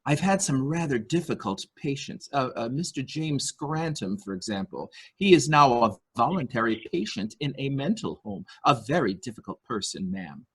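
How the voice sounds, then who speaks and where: A man's voice: medium pitch, big pitch swings, normal speed, few pauses, a bit bright, breathy edge, full character voice. A man playing a deferential male nurse-attendant in a murder mystery reading, speaking formally, hesitantly and obsequiously. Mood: deferential, careful. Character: deferential male nurse-attendant to a rich invalid, primly servile.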